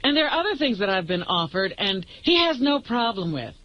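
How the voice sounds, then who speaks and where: Very high-pitched man on talk radio. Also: very animated, brisk, muffled recording. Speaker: comedian on radio panel. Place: radio studio.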